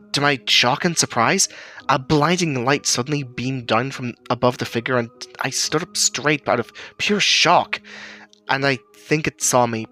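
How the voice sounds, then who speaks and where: An earnest storyteller, played by a man, recounts an eerie childhood experience in an audio horror anthology. His mid-pitched voice is bright and forward, with a hesitant, uneasy delivery over quiet music. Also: close to an everyday voice. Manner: earnest, hesitant, stammering in places. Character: shaken storyteller recalling an eerie childhood sighting. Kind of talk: first-person spooky story narration.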